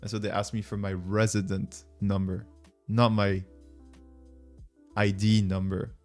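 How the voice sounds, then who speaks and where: A man's voice: lowish voice, flat delivery, a little slow, darkish tone, faint music behind. A man with a French accent hosting a casual livestream, talking to viewers in an informal, rambling way as he thinks aloud. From France. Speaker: livestream host.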